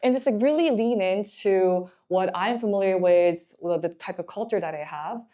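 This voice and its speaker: A woman's voice: medium pitch, normal speed, dark tone. A young woman from Korea, a management consultant, giving candid, encouraging career advice in a chatty way.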